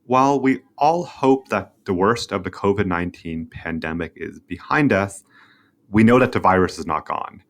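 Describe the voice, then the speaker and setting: A man's voice: deep voice, expressive, moderate pace. A man hosting a medical podcast, presenting and asking questions in a formal, prepared interview style. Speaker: podcast host, journal web editor.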